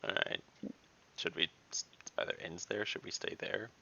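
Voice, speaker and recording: A man's voice: low voice, fairly even intonation, normal speed, gravelly, pushed and bright, highs cut off, slight background noise. A man chatting casually as a player in an online tabletop fantasy game. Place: online voice call.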